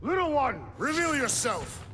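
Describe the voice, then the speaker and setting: An actor playing a stern alien warrior in a video game, speaking in a formal, solemn, blunt way. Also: male voice, extremely high pitch, very animated, measured pace, bright, forceful tone, a little hiss or hum, heavily acted. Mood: loud, commanding. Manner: formal, solemn, blunt phrasing without contractions. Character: stern, literal-minded male alien warrior. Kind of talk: video game cutscene voice acting.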